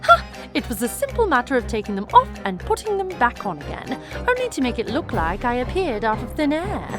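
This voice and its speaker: A woman's voice: fairly high pitch, wildly swooping pitch, brisk. A woman voicing a smug, taunting villainess with a short temper in an anime-style audio drama, theatrical and mocking. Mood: smug, teasing. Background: music.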